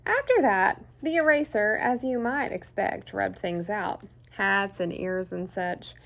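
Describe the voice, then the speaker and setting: A woman reading a children's picture book aloud in an expressive, deliberate storyteller's way. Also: mid-range voice, gravelly. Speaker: children's story time host.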